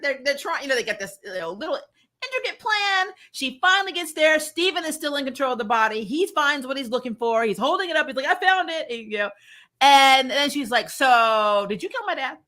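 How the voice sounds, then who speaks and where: A woman giving a casual, opinionated review in an animated, sweary way, acting out bits of mock dialogue. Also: high voice, fairly quick. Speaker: host of a TV series review show.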